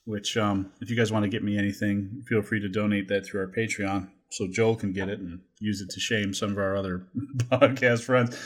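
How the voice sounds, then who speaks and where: A man's voice: lowish voice, fairly even intonation, moderate pace, slightly rough. A man in his forties chatting casually as a podcast host, rambling and joking with some crude language.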